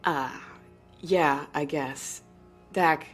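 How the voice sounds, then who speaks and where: A woman's voice: deep voice, very slow, faint music behind. An actress playing a practical, loyal female security deputy in a naturalistic sci-fi audio drama. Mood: subdued, practical.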